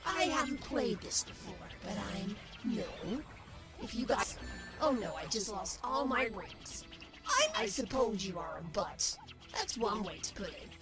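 A woman's voice: a little high, huge pitch swings, measured pace, over loud music, exaggerated voice. A woman voicing a creepy but lonely, sensitive doll character in a hesitant, plaintive cartoon voice. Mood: awkward, hurt.

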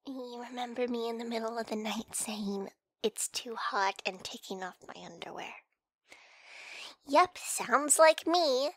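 A woman playing a flustered, hesitant young woman, stammering softly in an ASMR roleplay. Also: fairly high pitch, unhurried, no room sound. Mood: sheepish, hesitant. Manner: hesitant, stammering, soft-spoken with breathy whispered moments. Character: flustered young woman, hungover friend waking up in bed.

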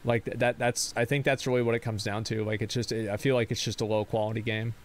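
A tired man in his thirties streaming, reading viewer questions and answering in a rambling monotone full of fillers and restarts. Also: lowish voice, quick pace.

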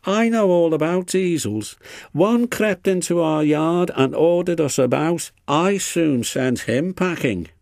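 A man's voice: a little high, slow, gravelly, darkish tone, no room sound, studio quality, pitched above the performer's own voice. A man voicing a vain, boastful, snappish steam engine in a storybook. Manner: snappy, bragging.

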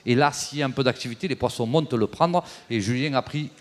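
A man in his forties giving an animated, explanatory talk on a trade show stage into a handheld mic, with many fillers. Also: mid-range voice, fast talker. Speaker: fishing tackle presenter. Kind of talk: casual live demo talk. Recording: long reverb of a hall.

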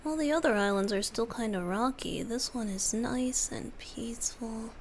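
A woman voicing a youthful male wind-spirit bard, soft, gentle and teasing, often breathy, in a romantic ASMR roleplay. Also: a little high, moderate pace, natural voice. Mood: relaxed, affectionate.

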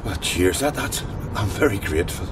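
An actor playing a polite, down-to-earth ex-convict with a clipped way of talking, in a naturalistic radio drama. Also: male voice, lively intonation, normal speed. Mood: awkward. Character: plain-spoken, polite ex-convict hitching a lift. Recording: noisy car interior, vehicle rumble. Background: moving vehicle noise.

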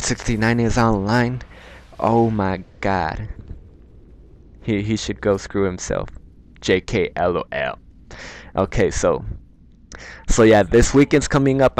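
Guy w a mexican accent rambling over his game, kinda low voice, slangy n repeats himself. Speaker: gamer commentating over own gameplay. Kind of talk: casual rambling gameplay commentary.

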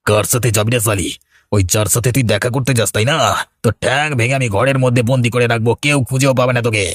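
A man's voice: mid-range voice, fairly even intonation, quick pace, no echo, clean studio sound, natural voice. A man voicing a violent, drunken village husband in a cartoon, aggressive and threatening. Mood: furious bullying. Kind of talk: cartoon drama voice acting.

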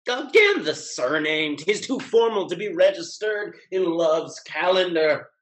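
A merry, hard-drinking rake in period stage comedy. He has a fairly high voice and declaims in grand, flourishing phrases. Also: very animated, unhurried, fairly bright tone, heavily acted. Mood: gallant. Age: young adult. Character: merry, hard-drinking young rakish gentleman in love. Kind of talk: theatrical classical stage comedy acting. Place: home room, video call.

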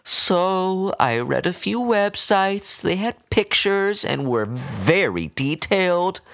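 A man voicing an unhinged, doting woman who fusses over her pet in a high, breathy, wavering voice. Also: sing-song, slow, a bit bright, dry recording, clean studio sound, exaggerated voice. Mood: cooing.

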